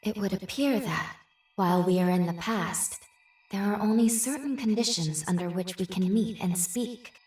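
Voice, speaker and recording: An actress playing a gentle, formal female companion in a video game, speaking carefully with an echoing effect. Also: mid-range voice, normal speed. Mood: calm, explanatory. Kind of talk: video game cutscene acting. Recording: echoey, distant voice over music.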